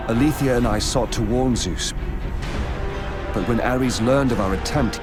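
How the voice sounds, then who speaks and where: A man voicing a grave, earnest demigod oath keeper in a video game, speaking in a solemn, measured way. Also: medium pitch, lightly acted. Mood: sorrowful, solemn. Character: grave, sorrowful male oath keeper, rebellious demigod son. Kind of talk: cinematic video game acting. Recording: loud orchestral music behind. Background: loud game score.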